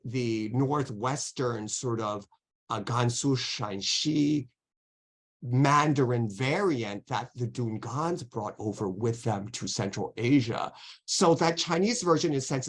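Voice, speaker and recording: Guy lecturer on a video call, mid pitch, careful and hedgy, lots of restarts. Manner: careful, hedging, many restarts. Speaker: guest lecturer. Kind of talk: online academic Q&A.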